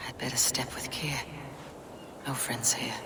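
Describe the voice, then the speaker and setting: An actress playing a guarded, brooding Viking warrior woman in a video game, with a husky, breathy voice. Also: rumbling low, steady tone, measured pace. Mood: brooding, hushed. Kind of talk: video game voice acting, dramatic inner narration. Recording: noisy water and outdoor ambience.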